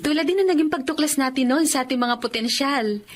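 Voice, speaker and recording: A woman's voice: high-pitched, fairly quick, natural voice. An actress playing an idealistic young woman who teaches village children, earnest and heartfelt, in a Filipino radio drama. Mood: earnest, hopeful.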